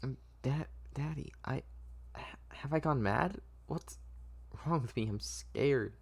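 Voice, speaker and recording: A man's voice: medium pitch, slow pace, gravelly, breathy edge, pitched above the performer's own voice, exaggerated voice. A man voicing a frightened, anxious young woman, hesitant and stammering in a dramatic script reading. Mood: frightened, panicked. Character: frightened, anxious young woman patient being turned into doll.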